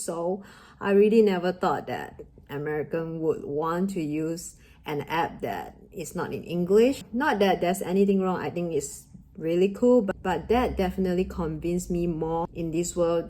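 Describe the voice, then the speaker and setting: A woman's voice: lowish voice, warm tone. A young woman from Malaysia with a Malaysian accent, a Mandarin native speaker, earnestly giving a motivational talk in her vlog. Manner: earnest, encouraging, with grammar slips of a second-language speaker. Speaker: vlogger.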